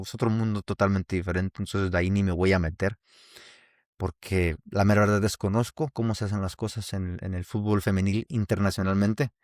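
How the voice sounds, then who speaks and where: A man's voice: fairly low pitch, darkish tone, dry recording, studio quality. A man from Mexico with a Mexican accent, hosting a casual solo podcast and talking in a rambling way with many restarts. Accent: Mexican Spanish. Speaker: podcast host.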